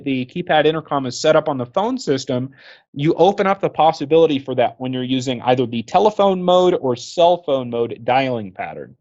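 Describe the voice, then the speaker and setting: A man's voice: mid-range voice, darkish tone, muffled recording. A man working as a product trainer, explaining things informally and conversationally with everyday examples.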